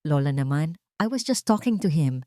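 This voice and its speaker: A woman's voice: low-pitched, expressive, normal speed, mellow, no echo, studio quality. A Filipino woman voicing a spoiled, haughty sixteen-year-old rich girl who is fond of her father, in a narrated story. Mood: mildly protesting.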